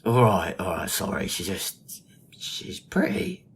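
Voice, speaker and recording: A man's voice: low-pitched, very animated, slow, breathy edge, heavily acted. A man acting a chatty, jittery, squeamish sidekick in an audio drama. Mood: flustered.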